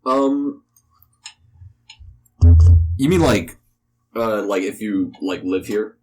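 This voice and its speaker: A man's voice: fairly low pitch, very slow, a little mellow. A young American man giving casual, rambling interview answers with "like" sprinkled throughout. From United States. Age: young adult. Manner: rambling, informal, peppers speech with "like". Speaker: podcast interview guest.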